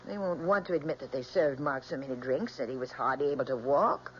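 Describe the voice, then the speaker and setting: Anxious young daughter, earnest and stubborn, highly expressive, dull old radio drama recording. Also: female voice, a little fast. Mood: pleading, defensive. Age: young adult. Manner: hesitant, earnest. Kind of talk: 1970s radio drama acting. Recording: dull old radio broadcast, faint hum.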